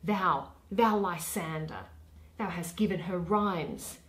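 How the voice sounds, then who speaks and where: A woman's voice: lowish voice, slow, a bit bright. A woman voicing an angry, aggrieved old father in Shakespearean verse, declaiming emphatically. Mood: indignant, accusing.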